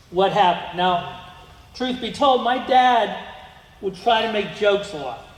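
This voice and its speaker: A middle-aged man giving a rambling museum talk about his family, full of ums and restarts. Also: high voice. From United States. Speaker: guest speaker. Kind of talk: museum lecture with slides. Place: museum lecture room. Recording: echoey hall with long reverb.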